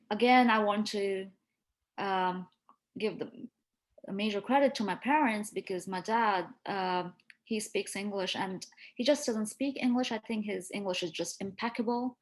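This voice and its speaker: Young woman, from India, native Marathi speaker, interview guest, earnest, articulate, mid-pitched voice. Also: a little slow. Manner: earnest and articulate, with small hesitations. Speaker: interview guest, medical student. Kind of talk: casual interview chat.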